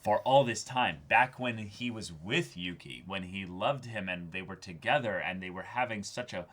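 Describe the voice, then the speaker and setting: A man's voice: fairly low pitch, moderate pace, a bit bright. A man co-hosting a reaction show, talking earnestly and effusively in casual discussion, often repeating words as he searches for the next one.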